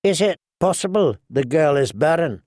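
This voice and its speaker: A man's voice: fairly high pitch, expressive, moderate pace, darkish tone, no echo, studio quality, close to an everyday voice. A man voicing a cheerful, flippant young lord with a wry, bantering manner in an audiobook. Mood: perplexed, uncertain. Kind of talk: audiobook character dialogue.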